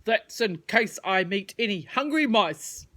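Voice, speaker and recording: A woman's voice: mid-range voice, expressive, a little slow. A woman voicing a boastful, blunt little male worm in a children's storybook. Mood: helpful. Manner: blunt, boastful, loudly emphatic.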